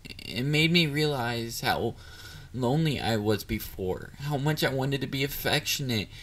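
A man playing a shy, clingy, excitable young catboy boyfriend in an intimate romantic audio roleplay, hesitant and easily flustered. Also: medium pitch, a little slow. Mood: vulnerable. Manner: hesitant, vulnerable, flustered, with stammers.

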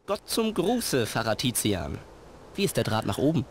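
A man's voice: mid-range voice, very animated, normal speed, crowd noise, close to an everyday voice. A man playing a cheeky, jovial teenage boy detective in an adventure game. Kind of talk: scripted adventure game dialogue.

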